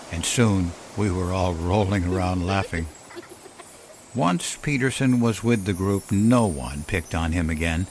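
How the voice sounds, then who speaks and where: A man narrating a scripted first-person story in a measured, solemn, reflective way, as on a fiction podcast. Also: low-pitched, slight background noise. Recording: music behind the voice. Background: clear music bed.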